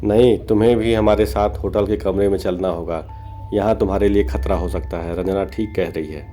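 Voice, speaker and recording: Cartoon husband, done by a man, fairly low, dark tone, practical, insistent. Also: steady tone, moderate pace, faint music behind, natural voice. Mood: insistent concern. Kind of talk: cartoon horror story.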